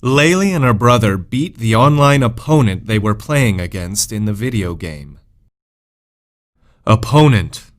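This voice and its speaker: Man reading vocabulary lessons aloud. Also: fairly low pitch. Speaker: vocabulary narrator. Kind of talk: scripted educational read-aloud.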